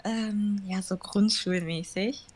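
A woman's voice: medium pitch, fairly even intonation, slow pace, darkish tone. A woman in her twenties, raised in Germany with Japanese as her native language, answering interview questions casually and hesitantly with many fillers. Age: 20s.

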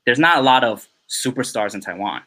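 A man's voice: mid-range voice, expressive, fairly quick, pushed and bright, poor audio. A young man chatting as a podcast guest, rambling and filling his talk with "you know" and "um". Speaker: podcast guest, film assistant director. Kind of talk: casual interview chat.

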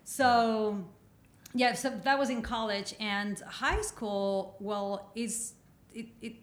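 A woman guest speaks with a Mexican Spanish accent, her voice pitched in the middle range. She talks in a loose, chatty way, restarting sentences and often laughing. The recording has a noticeable roomy echo. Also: takes it slowly. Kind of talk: casual interview chat.

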